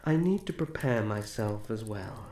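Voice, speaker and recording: A man playing a young elf prince in a fantasy ASMR roleplay, formal, soft-spoken, gentle and protective. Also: lowish voice, lively intonation, a little slow. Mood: gently concerned. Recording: roomy echo, faint background noise.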